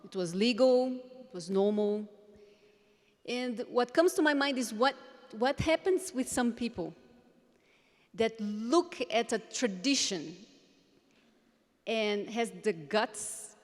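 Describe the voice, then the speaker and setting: A woman's voice: on the high side, slow, long pauses. A woman from Brazil with a Brazilian accent, giving an earnest, reflective conference talk with hesitant restarts. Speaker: conference speaker. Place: large conference hall. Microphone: handheld microphone. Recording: reverberant hall, faint background noise.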